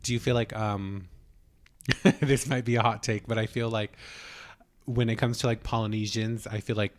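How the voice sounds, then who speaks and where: A man's voice: lowish voice, moderate pace. Chatty young man podcast host. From Utah. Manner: chatty, animated, swears casually, laughs often. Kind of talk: casual chat.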